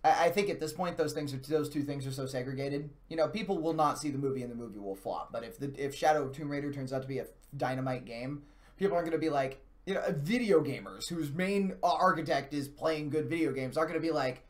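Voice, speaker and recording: A man hosting a video game show, giving casual, unscripted commentary on games and films in an animated way. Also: on the high side, fast.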